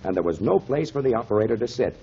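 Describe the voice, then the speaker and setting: An avuncular mentor from a 1930s radio play, telling stories to youngsters with emphatic, swooping delivery. His voice is a little rough and husky, heard through the dull sound of an old broadcast recording. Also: mid-range voice, expressive, quick pace, dark tone. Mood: earnest storytelling. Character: kindly grown man, storytelling mentor to children, pipe smoker.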